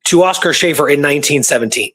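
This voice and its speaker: A man's voice: a little high, quick pace, fairly bright tone. A man talking casually through historical research, rambling and informal, repeating points for emphasis. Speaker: history researcher and show guest or co-host.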